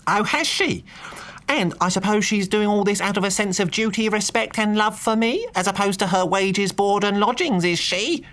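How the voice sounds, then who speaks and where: A man's voice: on the high side, very animated, normal speed, a bit bright, pitched above the performer's own voice. A man voicing a blustering, short-tempered aristocratic lord who talks with indignant bluster.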